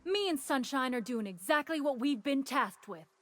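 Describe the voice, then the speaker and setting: An actress playing a wary but easygoing station guard who talks in a drawling, folksy way. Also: female voice, sits high, lively intonation, normal speed, fairly bright tone, a little hiss or hum. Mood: wry.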